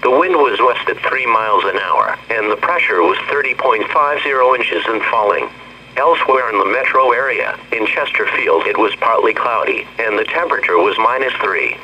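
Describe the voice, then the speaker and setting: A very bright, hard-edged text-to-speech man's voice with an American accent reads a weather bulletin in clipped, formal phrases. It comes over a weather radio, muffled with a faint layer of noise. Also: mid-range voice, animated, normal speed. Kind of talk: automated scripted weather forecast reading. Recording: dull, noisy weather radio broadcast.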